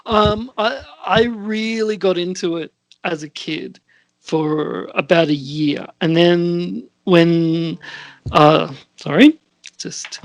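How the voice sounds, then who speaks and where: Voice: medium pitch, takes it slowly, dry recording. A podcast co-host around forty chatting casually in a meandering, conversational way. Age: late 30s to early 40s. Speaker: podcast co-host and script reader. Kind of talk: casual chat.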